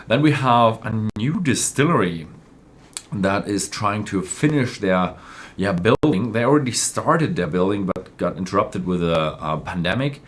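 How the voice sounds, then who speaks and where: Casting: male presenter, deep-pitched voice, for informal news updates with a slightly halting, self-correcting delivery. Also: animated.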